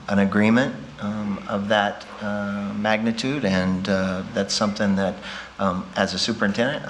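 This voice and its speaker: A man, a school superintendent, answering a public question in a halting way with many fillers. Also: fairly low pitch, a bit bright, slight background noise.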